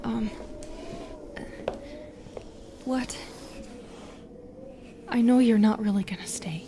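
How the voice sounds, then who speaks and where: Slow, halting, lonely Medusa played by a woman. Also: fairly high pitch, slight background noise. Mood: resigned, unsure. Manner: hesitant, halting, soft and breathy. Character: lonely, wounded, wary female Medusa warming to a visitor. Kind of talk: intimate audio roleplay acting, often whispered.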